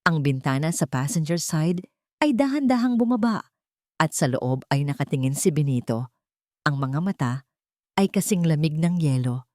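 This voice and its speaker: A female storyteller narrates dramatic fiction in a low voice. The delivery is scripted, and the recording is close and dry. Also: animated, normal speed, a little mellow, dry recording.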